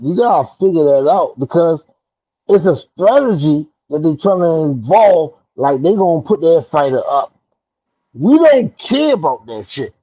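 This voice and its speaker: Blunt cussing guy, bit high voice, dull dry audio. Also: big pitch swings, a little slow, mellow, slightly rough, no echo. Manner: blunt, profane, cuts in with "hold on". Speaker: boxing podcast co-host. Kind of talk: casual chat.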